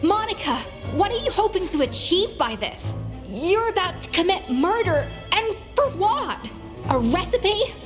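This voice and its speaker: A woman's voice: extremely high pitch, very animated, unhurried, exaggerated voice. A woman playing a poised, stern young female chef in a video game, assertive and indignant in manner. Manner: scolding, incredulous, assertive. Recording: game music behind.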